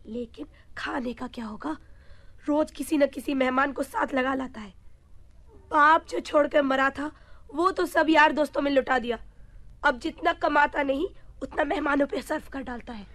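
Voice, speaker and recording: Radio play mother: high voice, exasperated scold, long-suffering. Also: moderate pace, a bit bright. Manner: nagging, complaining. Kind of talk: Hindi radio play acting.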